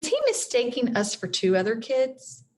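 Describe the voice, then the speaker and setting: A woman's voice: animated, normal speed, a little mellow, natural voice. A woman reading the part of an anxious young boy in a storybook, hesitant and unsure. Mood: puzzled. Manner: hesitant, raised pitch.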